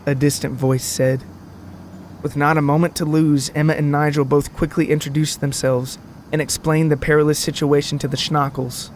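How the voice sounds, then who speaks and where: A man narrating a scripted comic story in a wordy, deadpan manner. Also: a little high, normal speed, slight background noise.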